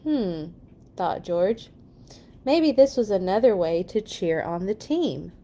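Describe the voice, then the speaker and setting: A woman reading a children's story aloud in an expressive, playful way for young listeners. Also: mid-range voice, takes it slowly. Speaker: children's read-along storyteller. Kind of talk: storybook read-aloud with animated asides.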